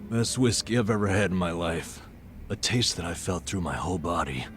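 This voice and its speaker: A man's voice: low voice, animated, normal speed, a bit bright, slight background noise. An actor playing a stressed, boastful middle-aged vagabond in a video game cutscene, telling his tales dramatically and colloquially. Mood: dreamy, boastful.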